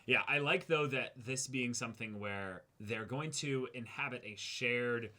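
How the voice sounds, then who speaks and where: A man's voice: mid-range voice, unhurried, sharp tone. A man co-hosting a reaction show, casually discussing an anime episode in wordy, analytical phrasing.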